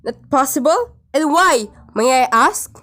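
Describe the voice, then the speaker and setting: A high-voiced, nervous invalid wife in a radio play, acted by a woman. She is imperious and complaining, with a melodramatic edge that tips toward frantic. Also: animated, a little slow, fairly bright tone. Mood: indignant.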